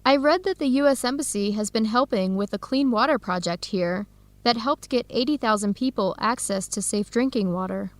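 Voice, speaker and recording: A woman's voice: a little high, moderate pace, close to an everyday voice. A woman with an American accent playing a friendly, encouraging young researcher in an educational radio drama. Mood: enthusiastic. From United States.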